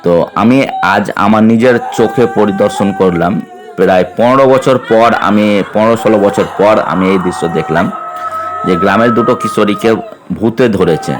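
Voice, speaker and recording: A man's voice: lowish voice, normal speed. A man narrating video footage as an eyewitness host, informally and emphatically, often repeating his phrases. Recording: loud music under the voice. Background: loud music.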